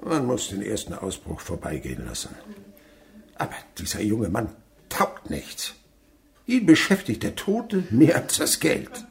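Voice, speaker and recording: A man's voice: fairly low pitch, wildly swooping pitch, a little slow, gravelly, heavily acted. An actor playing a miserly, callous old father in a German radio play, blunt, scornful and domineering. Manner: blunt, scornful, lecturing, abrupt swings in delivery.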